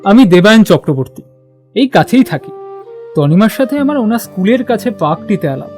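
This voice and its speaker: A man's voice: high voice, very animated, normal speed, darkish tone. A man voices an earnest young man in an audio love story. He speaks politely and conversationally, over a bed of music.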